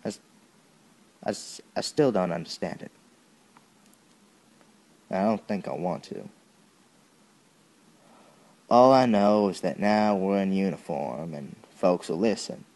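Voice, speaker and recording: A man performs a young Southern soldier telling his story in an audio drama. The voice is low and mellow, and the words come slowly and haltingly, in plain folksy speech weighted with grief and bitterness. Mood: bitter bewilderment. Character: young Southern soldier recounting a childhood atrocity, bitter and grieving. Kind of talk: dramatic first-person monologue, audio drama.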